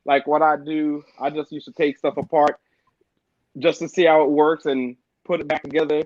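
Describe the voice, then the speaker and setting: A middle-aged man from Jamaica chats as a podcast guest, easygoing and rambling, with filler words. His voice sits a little high for a man. The recording is dry and somewhat dull. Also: normal speed, dry recording. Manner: relaxed storytelling with frequent "man" and "like". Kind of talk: casual podcast chat.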